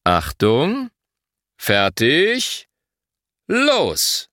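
Man reading an animated, announcing father. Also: fairly high pitch, normal speed, no room sound, clean studio sound, pitched above the performer's own voice, exaggerated voice. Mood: calling out. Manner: clear announcing, calling out. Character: father announcing rules as game referee.

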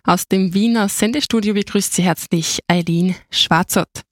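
A woman hosts a studio radio show with a formal, welcoming introduction. Her voice is mid-pitched and the sound is dry and close. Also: moderate pace, no echo. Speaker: radio host.

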